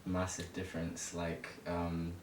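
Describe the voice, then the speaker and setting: A man in his early twenties from Zimbabwe speaks in a low, fairly monotone voice. He tells his story informally, pausing and feeling for words as he goes. The recording is echoey and distant, with rumbling noise behind him. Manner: hesitant, halting, informal. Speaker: interview guest. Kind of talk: casual personal storytelling.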